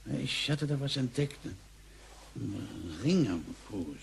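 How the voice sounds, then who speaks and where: An actor playing a gentle, curious old grandfather in a sci-fi radio drama, murmuring haltingly and repeating his words. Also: medium pitch, very animated, vocal fry, a little hiss or hum, exaggerated voice. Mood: puzzled, determined. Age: older.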